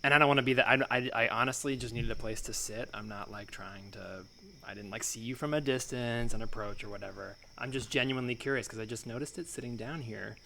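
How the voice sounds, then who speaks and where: A man's voice: medium pitch, quick pace, gravelly, bright, forceful tone. Guy doing an awkward curious stranger, mid voice, stammers and says sorry, improv comedy, slight noise. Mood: awkward, apologetic. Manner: stammering, over-apologetic. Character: awkward, hesitant, apologetic curious stranger on park bench. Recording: noisy remote recording.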